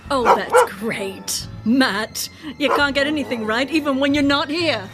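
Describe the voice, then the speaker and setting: High-voiced woman, bitter unhinged wife, sarcastic, volatile, radio drama. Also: a little slow, over loud music. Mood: scornful. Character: bitter, scornful, unhinged wife from another dimension.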